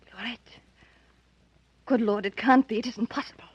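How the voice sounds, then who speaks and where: A woman's voice: a little high, fairly even intonation, moderate pace, breathy edge, no echo. An actress playing an anxious, refined married woman in fear of a villain, in earnest, formal 1930s radio drama style. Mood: bewildered. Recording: dull, muffled vintage radio recording.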